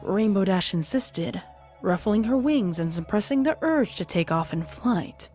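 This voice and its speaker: A woman's voice: mid-range voice, lively intonation, unhurried, a little mellow, soft background music. A woman narrator reading a scripted dramatic story in prose.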